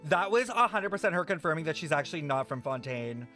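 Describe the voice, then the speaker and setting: A young male game streamer with a fairly high voice. He talks casually and jokingly, heavy on slang, sarcasm and swearing. Soft music runs behind him. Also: expressive, quick pace. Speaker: game livestreamer. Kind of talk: casual livestream commentary.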